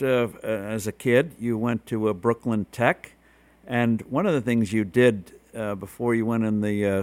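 A man's voice: on the low side, no echo. Male host, dry studio sound. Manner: measured, conversational questioning. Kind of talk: political commentary and interview.

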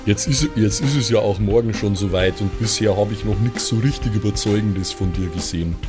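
A man with a southern German accent playing a gruff, easygoing, beer-loving old soldier in an improvised role-play, rambling and folksy. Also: fairly low pitch, fairly quick, music in the background, lightly acted. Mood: skeptical, grumbling.

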